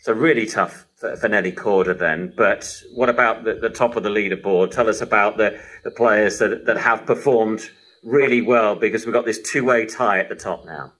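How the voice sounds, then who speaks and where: A man's voice: fairly low pitch, normal speed, a bit bright, low-quality recording. A man presenting a live sports radio programme, heard over a shortwave broadcast.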